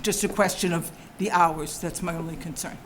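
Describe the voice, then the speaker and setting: An older woman, a resident and former teacher, giving public comment at a town board meeting in a loud, direct, teacherly voice. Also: fairly low pitch, steady tone, moderate pace, background noise. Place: town meeting hall at a podium.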